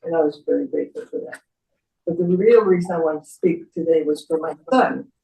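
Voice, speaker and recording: Older lady giving an emotional eulogy, mellow and a bit high, roomy far-off mic. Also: brisk, gravelly, a little hiss or hum. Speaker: memorial speaker, friend of the deceased. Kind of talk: personal eulogy. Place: echoey indoor memorial gathering. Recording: echoey room, distant microphone.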